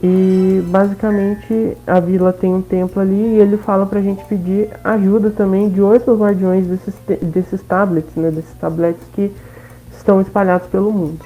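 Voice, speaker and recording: Brazilian female gameplay commentator: casual, mid-pitched, informal, slightly lo-fi recording. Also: little pitch movement, moderate pace. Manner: informal, frequent tag questions. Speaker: gaming video host. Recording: dull, lo-fi sound, faint game music.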